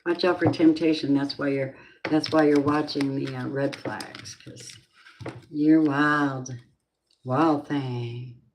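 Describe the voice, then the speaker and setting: A middle-aged woman with a low voice rambles slowly through a casual live tarot reading. Her thoughts wander off topic as she talks. Faint noise sits behind her voice. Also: fairly even intonation. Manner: chatty, rambling, drifting off topic. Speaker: tarot reader.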